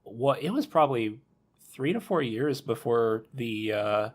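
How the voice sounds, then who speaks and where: A man's voice: mid-range voice, expressive, unhurried. A man speaking as a panelist on a casual podcast, talking things through conversationally.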